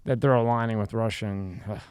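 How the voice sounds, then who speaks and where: A man hosting a casual podcast interview, chatty and quick to ask follow-up questions. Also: lowish voice, steady tone, a little mellow, clear, resonant tone, no room sound. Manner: chatty, asks leading questions. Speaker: podcast host.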